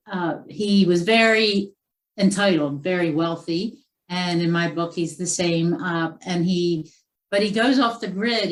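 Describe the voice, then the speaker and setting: A woman author talks through her latest novel in a loose, chatty interview style, stopping and restarting as she thinks. Her voice sits a little low for a woman. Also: low-quality recording. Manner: chatty, with frequent fillers and restarts. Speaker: author guest. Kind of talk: conversational interview.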